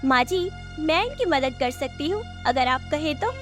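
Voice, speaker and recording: A woman acts out a young college-age village daughter in an animated tale. She is earnest and pleading in a very high voice, over clear background music. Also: moderate pace. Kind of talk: animated moral story voice acting.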